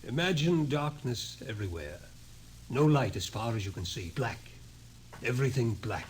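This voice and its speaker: Actor as ominous doctor, swooping intonation. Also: male voice, mid-range voice, lively intonation, natural voice. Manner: deliberate, ominous explaining. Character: grave scientist doctor, fatalistic and ominous. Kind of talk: old-time radio drama acting. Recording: noisy vintage radio recording.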